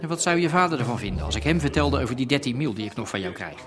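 An actor playing a menacing, mocking middle-aged gangster in a radio drama, needling and casually threatening. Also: male voice, mid-range voice, expressive, rapid-fire, music in the background, lightly acted. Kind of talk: naturalistic radio drama acting.

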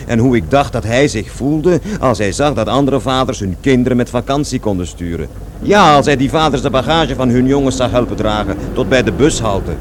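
A man's voice: medium pitch, expressive, brisk, noisy background, lightly acted. An actor in a radio play playing an aggrieved, rambling man who recalls his past in conversational, self-correcting speech. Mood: grumbling. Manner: digressive, self-correcting, repeats words emphatically.

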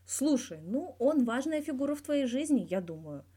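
A persistent male interviewer acted out by a woman reader probes with direct questions. The voice is mellow and lifted in pitch, in the manner of an audiobook dialogue. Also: big pitch swings, normal speed. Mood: pressing. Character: persistent, probing adult male journalist interviewer.

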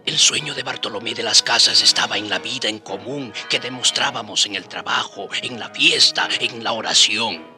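Casting: male actor as earnest, dignified narrator for a radio drama, lowish pitch, measured, over music. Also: natural voice. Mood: earnest, reflective.

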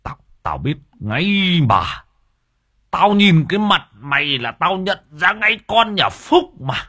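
Brief: raging old villager, husky, stammering curses, wild swings in pitch, put on by a male storyteller. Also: very animated, takes it slowly, full character voice. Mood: furious. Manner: stammering, yelling insults. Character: furious old village man, cursing.

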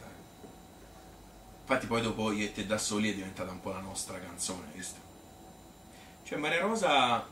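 A man's voice: fairly low pitch, lightly acted. A man playing an easygoing Tuscan man who rambles fondly about his youth in a folksy theatrical monologue. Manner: rambling, folksy, self-justifying. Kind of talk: theatrical monologue, colloquial storytelling. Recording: echoey room, distant mic, hissy noise.

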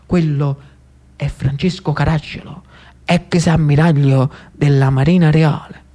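A man's voice: fairly high pitch, steady tone, measured pace, mellow, lightly acted. Man playing bossy, knowing Neapolitan friend. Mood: confiding.

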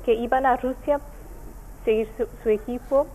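A woman from Portugal with a Portuguese accent, a native Portuguese speaker, giving careful, halting interview answers as an NGO representative. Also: on the high side, fairly even intonation, phone-quality sound, a little hiss or hum. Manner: halting, careful, searching for words. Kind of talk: explanatory radio interview answers.

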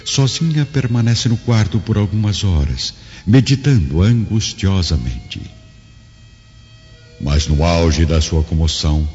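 A man's voice: low voice, lively intonation, a little slow, a little mellow, husky. A man narrating a radio drama from a script in a solemn, literary, dramatic style. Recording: dull lo-fi radio drama audio. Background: background music.

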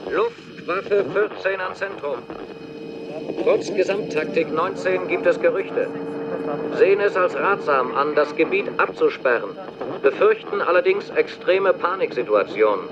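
An actor playing an urgent air force officer, sending clipped, official dispatches over a military radio in a radio drama. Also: male voice, sits high, a little slow. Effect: military radio filter. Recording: dull, noisy radio transmission.

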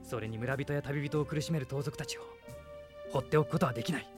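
A man playing a noble, resolute young prince in a Japanese radio drama, speaking in an earnest, measured way. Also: medium pitch, over music, natural voice.

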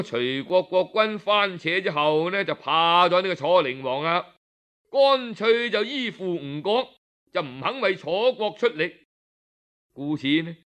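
Animated high-voiced Cantonese male storyteller. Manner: animated, colloquial, rhetorical. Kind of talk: traditional dramatic oral storytelling, audiobook narration.

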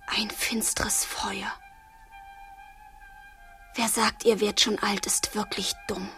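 An actress playing an impulsive, devoted fifteen-year-old girl in a poetic radio drama, theatrical and fervent. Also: medium pitch. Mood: adoring, hushed. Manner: theatrical, fervent, swinging from hushed to impetuous. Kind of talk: German radio drama acting, poetic verse.

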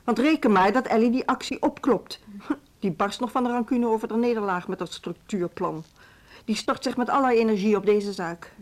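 A woman's voice: on the high side, normal speed, lightly acted. A woman acting in a naturalistic radio drama as a worried, insistent music school administrator, pressing and emphatic.

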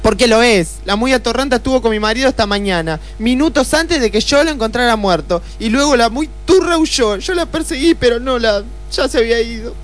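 A woman's voice: on the high side, lively intonation, a little fast, hardly any pauses, lightly acted. A woman playing a melodramatic, haughty femme fatale in a comic radio detective play, with a theatrical, curt delivery. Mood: indignant.

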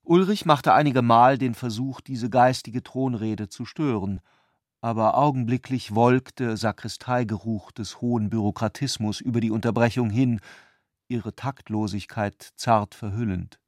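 A man delivers scripted literary narration for a radio play. His voice is mid-pitched, and he phrases things in a measured, reflective way. The recording is dry, with no room around the voice. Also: dry recording.

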